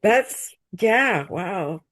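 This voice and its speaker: An older woman from the United States, a guest on a video call, speaking slowly and searching for her words. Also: medium pitch, animated, a bit bright, husky. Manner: slow, thoughtful, searching for words, drops to a whisper. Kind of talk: casual chat on a group video call.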